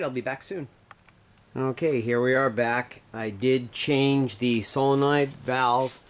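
A man's voice: mid-range voice, a little hiss or hum. A man casually walking through a do-it-yourself build in his garage workshop, explaining as he goes and often correcting himself mid-sentence. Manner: rambling, self-correcting explanations while filming. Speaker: DIY video maker.

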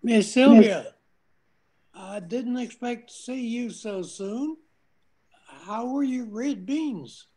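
An older woman playing a kindly, protective shopkeeper with a husky voice in an amateur radio drama. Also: a little high, takes it slowly, mellow.

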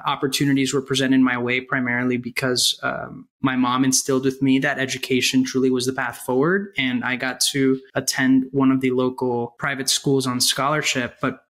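Man, thirties, bright crisp-edged tone, mid pitch, conversational interview guest, personal storytelling. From Ecuador-born, grew up in Puerto Rico and New York City. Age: 30s. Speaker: podcast guest, aerospace engineer. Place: small room at home, video call.

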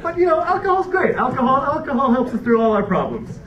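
A man's voice: very high-pitched, big pitch swings, quick pace. Young man doing stand-up on stage. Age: young adult. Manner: rambling, wry, with frequent restarts. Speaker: stand-up comedian. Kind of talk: stand-up comedy set. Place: dark theater stage before a laughing audience. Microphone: handheld stage mic, recorded from a far camera. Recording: roomy, distant, dull hall recording with crowd laughter.

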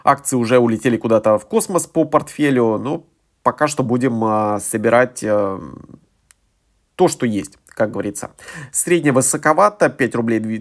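Guy narrating his stock picks over a phone screen, lots of umms, mid-pitched, plain everyday voice. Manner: filler-heavy, hesitant with frequent "э". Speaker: investing channel host. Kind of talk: screen-recorded portfolio walkthrough.

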